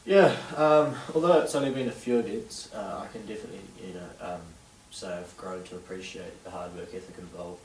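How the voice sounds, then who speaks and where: Young new zealand guy, deep voice, lots of ums, echoey room, far from the mic. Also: fairly quick, hardly any pauses, slight background noise. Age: teens. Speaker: interviewee, young DJ and event organiser. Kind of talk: hesitant interview answers with many fillers. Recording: distant roomy camera sound with faint ambience.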